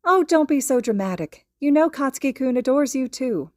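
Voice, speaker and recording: A gentle mother, put on by a woman narrator, friendly and affectionate, with lifted pitch. She is a cheerful woman in a storybook tale. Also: animated, normal speed, no echo, clean studio sound. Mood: amused.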